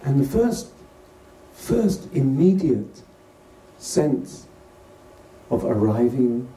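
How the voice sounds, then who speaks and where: A man leading a guided meditation as a teacher, speaking gently and unhurriedly in short phrases with pauses between them. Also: medium pitch, very animated. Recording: distant roomy recording with faint noise.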